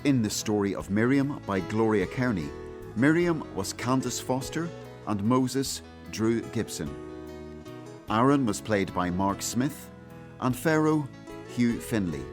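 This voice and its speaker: A man's voice: mid-range voice, animated, unhurried. A man reading scripted closing credits as a radio announcer. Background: plucked guitar music.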